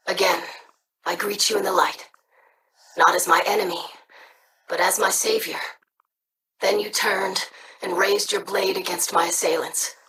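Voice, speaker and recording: A woman's voice: fairly low pitch, pushed and bright, recorded from a distance, poor audio. An actress playing an earnest, thoughtful young female alien scholar in a game, speaking formally. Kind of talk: game character dialogue.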